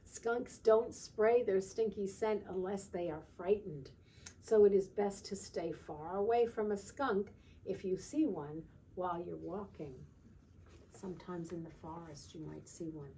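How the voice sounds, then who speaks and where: A woman teacher leading storytime for young children, gently explaining and often checking in with little questions. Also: on the low side, measured pace. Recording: distant mic with faint background noise.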